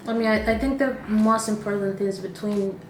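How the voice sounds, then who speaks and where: A woman's voice: medium pitch, moderate pace, roomy sound, recorded from a distance, slight background noise, poor audio. A woman in her twenties from Venezuela who speaks natively, giving short, plain answers as an executive assistant. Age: 20s. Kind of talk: casual interview answers.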